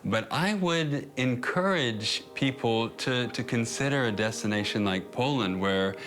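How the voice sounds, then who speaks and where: A man's voice: mid-range voice, measured pace. An American man in his thirties, telling of his life abroad in an easygoing, enthusiastic way with small restarts. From United States. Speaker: documentary subject, immigrant. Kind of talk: documentary voice-over and casual chat. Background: music.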